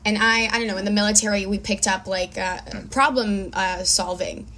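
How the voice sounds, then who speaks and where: A woman's voice: medium pitch, fairly even intonation, normal speed, bright, forceful tone. A young woman from Israel talking casually as a filmmaker guest in an interview.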